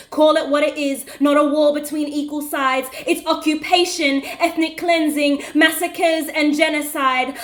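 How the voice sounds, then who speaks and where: A woman performing spoken word poetry with a rhythmic, impassioned, emphatic delivery. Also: high voice. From Western Australia. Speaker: spoken word poet and activist. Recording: echoey, roomy sound.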